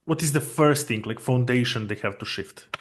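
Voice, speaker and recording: Man, interview host, earnest, storytelling, mid pitch. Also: normal speed, slight background noise. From Serbia. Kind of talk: conversational interview.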